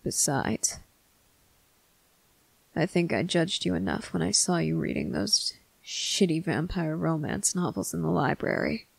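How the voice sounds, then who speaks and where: A performer voicing a stern but tender male vampire captain in an intimate ASMR roleplay, speaking deliberately in clipped phrases with pointed pauses. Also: medium pitch, vocal fry, slightly rough, no room sound, exaggerated voice. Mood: dry, tender. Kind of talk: ASMR roleplay addressed to the listener.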